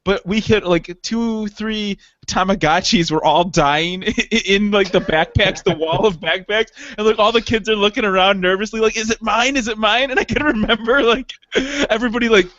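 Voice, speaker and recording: A man's voice: sits high, fairly even intonation, normal speed, hardly any pauses, fairly bright tone, highs cut off. A man in his late twenties, co-hosting a podcast in rambling, laughing, profane casual chat. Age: 20s. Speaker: podcast co-host.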